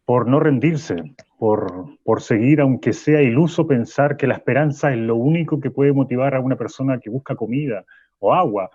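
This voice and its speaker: A weary, troubled male professor in a radio play, earnest and halting as he repeats himself. His voice is husky, recorded very dry and a little dull. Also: mid-range voice, darkish tone, no echo. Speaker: interview guest. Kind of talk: radio drama acting as a live interview.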